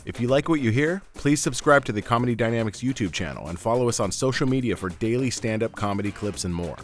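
A man's voice: mid-range voice, lively intonation, fast talker. A man reading scripted podcast announcements and credits. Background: music.

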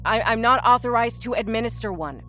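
A woman's voice: fairly high pitch, lively intonation, normal speed, fairly bright tone, faint music behind, voice pushed up. A woman narrator voicing a harried, short-tempered nurse under strain, urgent and prone to stammering, in an audiobook. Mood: stressed. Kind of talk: dramatic audiobook character acting.

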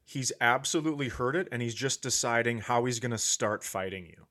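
A man's voice: medium pitch, a little fast, bright, forceful tone. A man hosting a comedy podcast, chatting casually and jumping in with teasing, profane riffs.

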